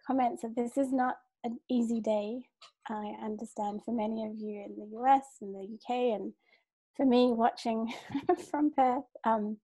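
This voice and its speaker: A woman from Sri Lanka giving a measured, formal academic lecture from home over a video call, with brief fillers and restarts. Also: a little high, warm tone, no room sound, rough recording. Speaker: keynote lecturer, art historian. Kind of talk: academic lecture read from a script. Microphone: computer mic on a video call.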